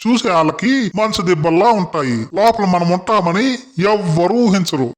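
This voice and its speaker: A man voicing an anxious, loyal penguin sidekick in a children's cartoon, folksy and excitable. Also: sits high, moderate pace, natural voice. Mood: resolute. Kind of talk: children's animated story acting.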